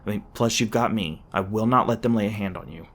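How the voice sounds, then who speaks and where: Man acting a low-voiced, brash monster hunter. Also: quick pace, a bit bright. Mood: reassuring. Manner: stammering when flustered, brash and sarcastic. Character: gruff, edgy monster hunter, defensive but secretly soft-hearted. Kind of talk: ASMR roleplay voice acting.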